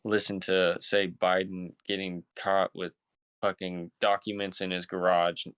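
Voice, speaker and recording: Low, smooth-voiced man, casual podcast host. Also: level tone, measured pace, a bit bright, no room sound. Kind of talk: casual chat.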